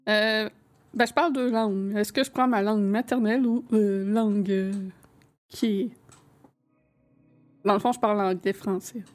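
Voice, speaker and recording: A woman's voice: mid-range voice, steady tone, moderate pace, long pauses. A woman playing a tabletop game, thinking aloud and hesitant. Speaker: player. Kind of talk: casual game play.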